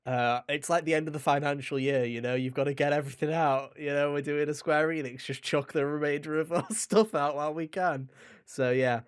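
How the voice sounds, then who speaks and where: A man's voice: a little high, fairly even intonation, fairly quick, clear, resonant tone, no echo. A man hosting a podcast in a casual, chatty way, with a jokey, mock-dramatic manner, close to the microphone. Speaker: podcast host.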